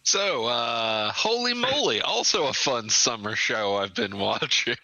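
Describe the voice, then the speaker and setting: A man co-hosting a podcast in casual comedic chat. His voice is very bright and lively, with a wide swing in pitch. It sounds close and dry, and he lands quick, dry quips. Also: gravelly, no echo, highs cut off. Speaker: podcast co-host.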